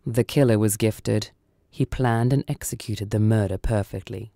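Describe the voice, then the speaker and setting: A man voicing a calm, menacing son of Death in a story, grave, lucid and deadpan. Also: fairly low pitch, moderate pace, vocal fry, no echo, studio quality. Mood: grave, impatient.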